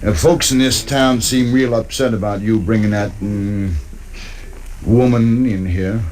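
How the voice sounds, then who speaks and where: An actor playing an insolent, mock-polite drifter with a folksy, mocking manner in a 1950s radio western. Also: male voice, fairly low pitch, fairly even intonation, a little slow, a little mellow, close to an everyday voice. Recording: old radio recording, faint noise.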